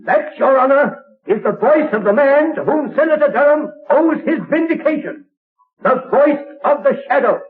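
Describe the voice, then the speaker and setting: A man's voice: extremely high pitch, animated, moderate pace. A man playing a confident defense attorney in a 1930s radio drama, formal and declamatory. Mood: triumphant. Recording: tinny old radio broadcast recording.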